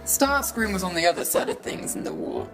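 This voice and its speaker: Old robot war veteran voiced by a woman, laconic, weary drawl, fairly low register, light music behind. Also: wildly swooping pitch, a little fast, creaky, heavily acted, low-quality recording. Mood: weary, resigned. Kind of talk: amateur comic dub dialogue. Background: faint music.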